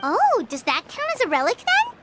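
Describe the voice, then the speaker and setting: A tiny floating fairy companion in a video game, with a very high, squeaky voice that bounces all over. She sounds curious and chirpy. Loud game music plays under her. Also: huge pitch swings, moderate pace, full character voice.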